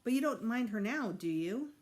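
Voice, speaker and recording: A woman's voice: fairly high pitch, expressive, normal speed, pitched above the performer's own voice, lightly acted. A woman voicing a patient, reassuring mother in a storybook, coaxing and placating her child. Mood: upbeat, reassuring.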